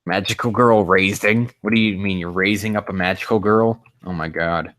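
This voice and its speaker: A man hosting a casual livestream, rambling and haltingly muttering to himself, with loose, crude language. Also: low-pitched, fairly bright tone, dry recording. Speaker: livestream host.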